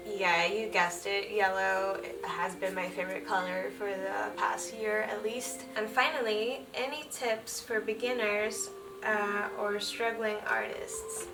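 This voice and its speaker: A woman's voice: medium pitch, far from the mic, rough recording. A young woman whose first language is Finnish, chatting casually and laughing lightly as she answers viewer questions. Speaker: illustrator vlogger. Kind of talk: casual Q&A chat. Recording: voice set back from the mic, music underneath.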